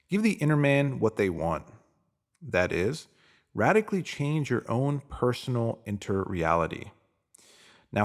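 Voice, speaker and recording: A man reading a scripted motivational spiritual piece in a deliberate, emphatic manner, pausing to give phrases weight. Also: mid-range voice, creaky. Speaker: narrator reading a spiritual self-help post.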